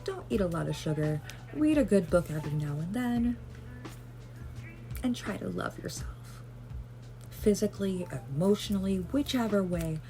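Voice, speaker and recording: A woman vlogger rambling gently through casual self-care advice, with lots of fillers and self-deprecating asides. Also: medium pitch, lively intonation, normal speed, soft background music.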